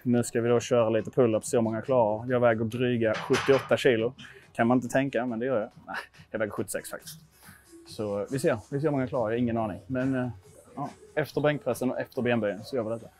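A man's voice: mid-range voice, steady tone, darkish tone. A man in his twenties from Sweden chatting casually to viewers in a gym vlog, informal, sweary and self-deprecating. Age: 20s. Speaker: vlogger testing his lifts at a gym. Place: indoor gym. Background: music.

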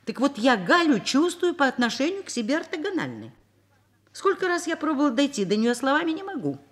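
A woman's voice: high-pitched, big pitch swings, a little fast. An actress playing a middle-aged woman professor in a radio drama, wry, reflective and matter-of-fact, exasperated yet indulgent. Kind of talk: radio drama acting and first-person narration.

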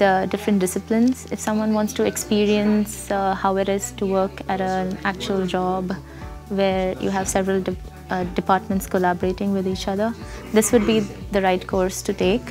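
A woman in her twenties from India with an Indian accent, speaking unscripted as a design student in an interview. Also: medium pitch. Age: 20s. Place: busy classroom studio, indoors. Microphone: lapel mic. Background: soft background music.

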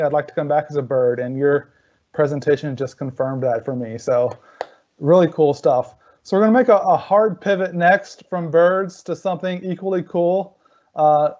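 Mellow-voiced man hosting a video call. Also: a little high, lo-fi sound. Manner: chatty, self-correcting. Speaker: event host. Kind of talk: casual introduction. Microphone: laptop webcam video call.

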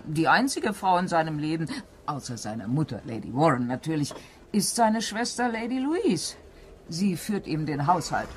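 A woman's voice: low-pitched, very animated, normal speed, background noise. A woman playing a gossipy country-house housekeeper in a German mystery radio play, telling things in a confiding, dramatic way.

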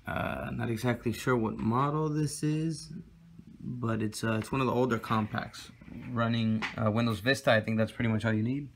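A man's voice: mid-range voice, normal speed. Man, tech how-to host, easygoing with plenty of "uh"s, phone-recorded with faint background noise. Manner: relaxed, step-by-step with frequent fillers. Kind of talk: casual screen-recorded tutorial. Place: indoor room with desk and computer.

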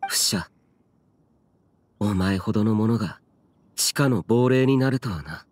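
A man's voice: fairly low pitch, expressive, a little slow, a little mellow, no room sound. A man playing a stern, proud ancient warrior in an anime game, solemn and commanding, with music behind. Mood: solemn, grieving. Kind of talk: dramatic anime game voice acting.

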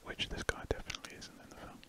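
Breathy whispering British man. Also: pushed and bright. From United Kingdom. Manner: rambling, hesitant, with trailing pauses. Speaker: ASMR artist narrating while drawing. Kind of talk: whispered ASMR ramble. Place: indoors at a desk, drawing. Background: pen scratching on paper.

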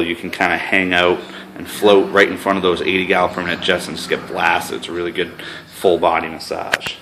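A man's voice: deep voice, fairly bright tone, slight background noise, low-quality recording. A man with a New England accent giving a casual, filler-heavy sales walkthrough of a hot tub in a spa showroom, speaking from behind a handheld camera. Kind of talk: walkthrough product tour.

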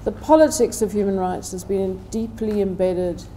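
Woman, middle-aged, soft-edged dark tone, medium pitch, measured seminar speech. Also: steady tone, slight background noise. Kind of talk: prepared seminar talk. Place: indoor seminar room, seated at table.

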